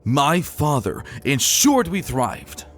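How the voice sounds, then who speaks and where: A man's voice: fairly high pitch, sing-song, slow pace, soft background music, voice pushed up. A man voicing a proud, contemptuous young stallion prince in a dramatic reading, snarling and spitting his words with a hot temper. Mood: furious. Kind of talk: dramatic fan fiction reading.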